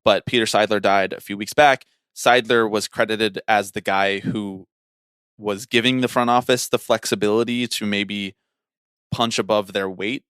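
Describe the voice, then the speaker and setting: Male podcast co-host, twenties, fairly low voice in a dry room, analytical but conversational sports talk. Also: steady tone, normal speed, a bit bright, clear, resonant tone, no echo. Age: 20s.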